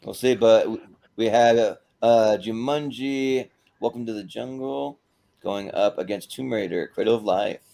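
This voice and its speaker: A man's voice: lowish voice, measured pace, gravelly, mellow. A man with an agreeable, easygoing manner hosting a casual panel chat. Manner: agreeable, easygoing, often chuckling. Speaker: host of a panel show.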